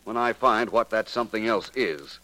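An American man acting a suave, unruffled private detective in a 1940s radio drama, urbane and coolly deliberate. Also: lowish voice, bright, forceful tone, low-quality recording. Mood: cool, probing. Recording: old 1940s radio recording.

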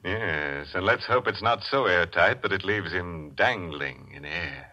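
Male 1940s mystery host, low and bright-edged, macabre and theatrical, muffled broadcast sound. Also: lively intonation, a little slow. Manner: macabre, tongue-in-cheek, theatrical. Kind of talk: 1940s radio horror hosting. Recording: muffled vintage radio broadcast.

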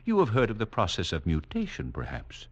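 Deep-set male voice, superior mutant scientist, condescending, extremely varied intonation, vintage radio drama. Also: wildly swooping pitch, highs cut off, heavily acted. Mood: lofty, superior. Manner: condescending, lofty, measured, didactic. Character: serenely superior mutant doctor, next stage of man. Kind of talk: 1940s radio acting.

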